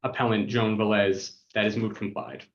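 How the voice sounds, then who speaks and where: A man's voice: on the low side, little pitch movement, moderate pace. City attorney, male, methodical reader, dull lo-fi call audio, faint hum. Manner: formal, methodical, reads numbers and addresses. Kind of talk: reading case list at a public hearing.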